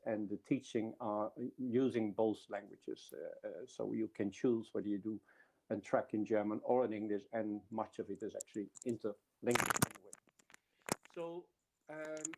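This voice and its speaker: Man giving a mellow talk. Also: mid-range voice, rough recording. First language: Dutch. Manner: explanatory, with frequent restarts. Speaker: university speaker. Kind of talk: webinar presentation.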